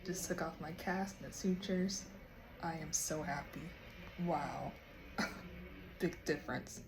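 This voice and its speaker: Woman vlogging, kinda nasal, talks slow w lots of ums, noisy background. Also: low voice, vocal fry. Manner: chatty, halting, with many ums and uhs. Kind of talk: casual vlog update.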